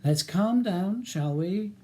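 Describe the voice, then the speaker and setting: A reader voicing a poised woman barrister who speaks politely, with sweet, calming firmness. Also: mid-range voice, slow pace, voice pushed up. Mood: soothing, poised. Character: poised, soothing female barrister, sweetly firm.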